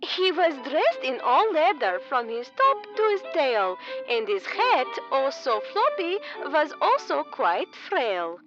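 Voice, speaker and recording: A woman's voice: sits high, wildly swooping pitch, slow, few pauses, heavily acted. A woman voicing a proud, stern but affectionate alien creature mama, theatrical and commanding, in a comedic audio drama. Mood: grand storytelling. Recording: dull muffled sound under music.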